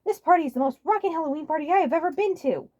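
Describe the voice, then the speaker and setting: A woman's voice: sits high, racing, voice pushed up, close to an everyday voice. A woman voicing a goofy, cocky, exuberant skinny man in a cow costume, brash and exclamatory, for cartoon fan fiction. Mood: excited. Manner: brash, exclamatory, prone to yelling.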